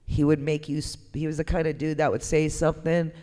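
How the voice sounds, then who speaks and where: A woman artist giving a candid, conversational talk, speaking in an informal, emphatic and expressive way. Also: sits low, fairly quick. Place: indoor gallery talk, reverberant room. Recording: echoey hall with long reverb.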